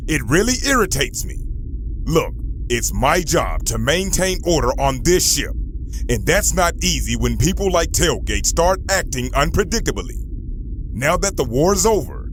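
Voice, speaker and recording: Robot law officer, male actor: humourless stickler, irritable and clipped, over clear background noise, audio drama. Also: on the high side, very animated, a little slow, rough recording. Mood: irritated.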